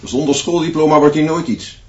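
A man's voice: on the high side, steady tone, fairly quick, a little mellow, highs cut off, natural voice. An actor in a radio drama playing a concerned male teacher, speaking in short, plain, pleading statements.

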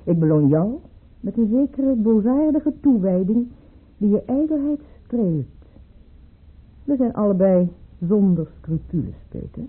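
An actress playing a proud, bitter aristocratic mistress in a radio play, with cutting, theatrical delivery. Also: female voice, medium pitch, sing-song, a little slow, slightly rough. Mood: bitter, accusing. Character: proud, bitter, sharp-tongued aristocratic mistress, a widowed baroness. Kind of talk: Dutch radio play acting. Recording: muffled, phone-like old radio play recording.

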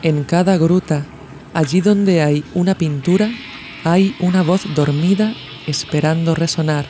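A man from reads a scripted literary audio essay in a measured, formal way. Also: on the high side, dark tone. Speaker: podcast narrator. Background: music.

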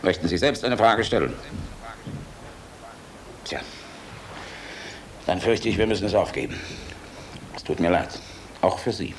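An actor in a German radio play, playing a middle-aged air force general, weary and decent, measured and frank with dry irony. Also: male voice, low-pitched, lively intonation. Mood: earnest, grave. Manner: measured, frank, dryly ironic. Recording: noisy radio play recording.

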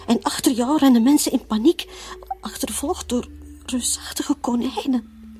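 An actress playing a frightened wife haunted by a nightmare, speaking in a halting, hushed, fearful way in a radio play. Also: female voice, high voice, a little hiss or hum, close to an everyday voice.

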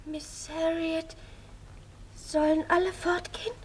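A woman in a 1950s German radio play voicing a young girl medium, speaking in a hushed, halting, trance-like way. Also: very high voice, flat delivery. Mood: entranced, solemn. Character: young girl medium, Betty, relaying a ghost's messages. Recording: faint noise, 1950s radio studio recording.

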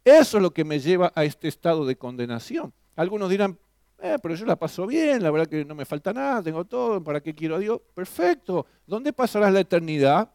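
A man's voice: fairly high pitch, a little mellow, no echo, clean studio sound. A man preaching a sermon, emphatic and full of rhetorical questions. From Argentina. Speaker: pastor.

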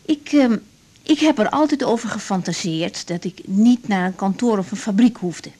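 An actress playing an idealistic young doctor in a radio drama, speaking candidly with reflective hesitations. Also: female voice, mid-range voice, expressive, normal speed, warm tone, lightly acted. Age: young adult. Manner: hesitant, reflective, self-searching. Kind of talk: naturalistic radio drama dialogue.

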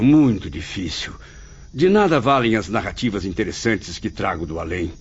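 A man's voice: mid-range voice, a little mellow, husky, exaggerated voice. A man playing the melancholy, proud spirit of a dead writer in a radio soap, with theatrical, literary lamenting. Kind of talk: dramatic radio soap acting. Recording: muffled radio drama with faint music.

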